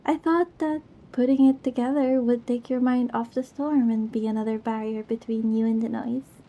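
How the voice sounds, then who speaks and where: Soft, high-pitched, affectionate girlfriend played by a woman. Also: fairly even intonation, normal speed. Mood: cozy, caring. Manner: playful, rambling, tender, reassuring. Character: goofy, affectionate young girlfriend comforting scared partner. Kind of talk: ASMR girlfriend roleplay, intimate one-sided dialogue.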